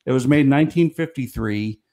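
A man's voice: medium pitch, quick pace, gravelly, mellow. A middle-aged man on a video call, telling stories in casual chat and laughing often. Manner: storytelling, laughs often, restarts. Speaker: guest, comedy writer. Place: small room at home. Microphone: studio mic on video call.

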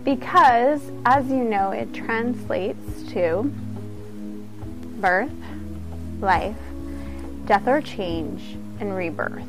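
A woman's voice: medium pitch, animated, very slow, long pauses, over music. A woman giving an informal instructional talk as a yoga teacher, conversational and earnest.

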